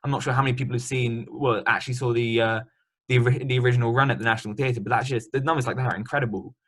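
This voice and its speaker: A man's voice: mid-range voice, fairly even intonation, racing. A man interviewing a guest in a remote chat, talking chattily and loosely with many ums and likes.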